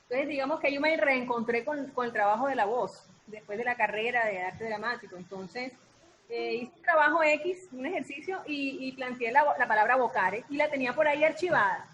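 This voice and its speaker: A woman talking casually and reflectively as an artist, pausing and repeating words for emphasis. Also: sits high, a bit bright, slight background noise, poor audio. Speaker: voice artist, founder of an artistic collective. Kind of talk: casual reflective talk in an online discussion. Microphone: video call.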